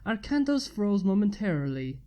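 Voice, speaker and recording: A woman's voice: medium pitch, very animated, normal speed, mellow. A woman narrating a scripted piece of fan fiction as its reader.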